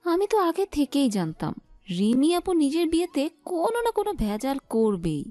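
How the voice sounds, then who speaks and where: High squeaky cheeky girl bestie, woman acting it, whiny and dramatic, audio story with music behind. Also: big pitch swings, moderate pace, mellow, no room sound. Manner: playful, exaggerated complaining.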